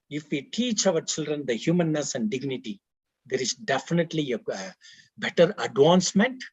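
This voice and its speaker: Indian-accented Tamil-speaking man on a webinar call, high lively voice, rhetorical questions, restarts often. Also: husky, dry recording, lo-fi sound. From Karnataka, India. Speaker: webinar panelist. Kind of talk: earnest panel talk.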